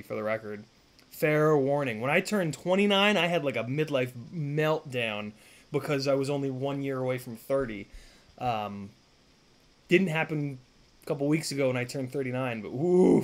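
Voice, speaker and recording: A man in his thirties streaming live from a home workshop, chatting casually with viewers, rambling and swearing with lots of fillers. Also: mid-range voice. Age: 30s. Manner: rambling, profane, full of fillers and restarts. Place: home workshop room, indoors.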